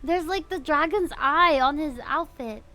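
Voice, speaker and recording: A woman's voice: high voice, unhurried, bright, forceful tone. A woman livestreamer reacting casually to a game, with gushing, giggly fan enthusiasm. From Philippines. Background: game music.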